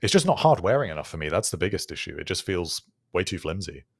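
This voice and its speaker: A man co-hosting a tech discussion, explaining his views in a measured, conversational way. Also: low-pitched, big pitch swings, no echo. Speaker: podcast co-host.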